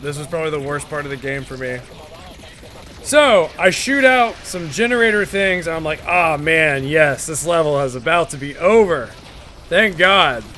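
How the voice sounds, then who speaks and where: Young male gaming host, slightly high and very bright, profane and exasperated commentary over soft music. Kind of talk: casual gameplay commentary voice-over.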